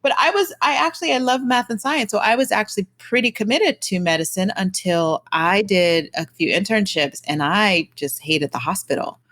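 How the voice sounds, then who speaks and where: A woman's voice: mid-range voice, expressive, moderate pace, few pauses, a bit bright. A woman hosts an interview podcast, her mid-range voice rising and falling a lot as she talks. She sounds bubbly and laughs easily with her guest. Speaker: podcast host. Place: New York.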